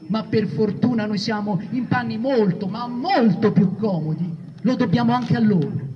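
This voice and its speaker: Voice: medium pitch, darkish tone. A reader at a theatre event, reading a prepared text aloud. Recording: reverberant hall, distant mic, dull sound.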